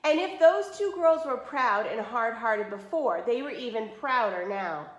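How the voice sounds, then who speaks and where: A woman reading a picture book aloud expressively, pausing to explain things plainly to listeners. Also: fairly high pitch, lively intonation, muffled recording. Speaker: read-aloud storyteller. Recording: roomy, echoey indoor sound.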